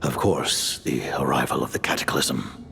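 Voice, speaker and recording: A man playing a grave, aloof ancient mastermind in a video game cutscene, speaking in a measured, formal, imperious way with a gravelly voice. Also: extremely low pitch. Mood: grave, stately. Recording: background music.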